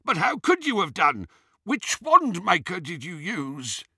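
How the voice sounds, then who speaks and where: Man playing a genteel, pompous wizard, lively intonation. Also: sits high, unhurried, dry recording, studio quality, pitched above the performer's own voice, full character voice. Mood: puzzled. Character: pompous male wizard, affable and dreamily chatty.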